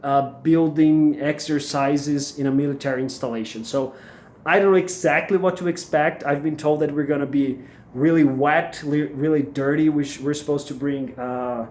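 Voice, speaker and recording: A man's voice: on the high side, fairly even intonation, moderate pace, a little mellow. Brazilian-accented young man, hesitant vlog talk. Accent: Brazilian Portuguese. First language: Portuguese. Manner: hesitant, many fillers and restarts. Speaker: MBA student vlogger. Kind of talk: casual vlog monologue. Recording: echoey room, faint background noise.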